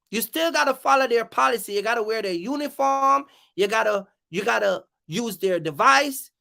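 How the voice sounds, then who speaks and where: A livestream host with a bright, forceful voice and a gravelly creak runs through an off-the-cuff rant. The delivery is emphatic and challenging, with phrases repeated to hammer each point. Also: mid-range voice. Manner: confrontational, challenging listeners. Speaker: live stream host.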